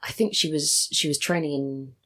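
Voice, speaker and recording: A woman's voice: sits low, fairly even intonation, moderate pace, a bit bright. A British woman talking casually as a podcast guest, sharing opinions and personal anecdotes. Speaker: podcast guest, audio drama writer and voice actor.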